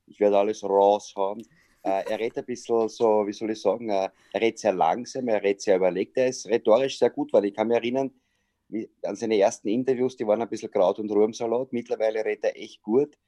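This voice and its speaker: A man's voice: lowish voice, steady tone, fast talker, warm tone, no room sound, clean studio sound. A middle-aged man with an Austrian accent, a sports journalist and comedian, chatting over a video call and telling stories with lots of hesitations. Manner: chatty storyteller with frequent hesitations.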